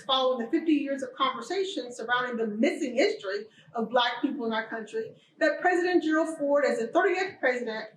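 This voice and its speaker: A woman giving formal opening remarks for a college event, in a measured, earnest delivery. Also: high voice, echoey room, far from the mic, slight background noise, rough recording. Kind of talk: formal prepared speech.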